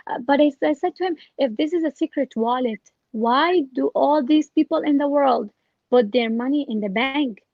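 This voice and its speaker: A woman telling a personal story as an interview guest, casually and with animated retelling of exchanges. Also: sits high, moderate pace, dry recording, highs cut off. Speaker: interview guest, scam victim.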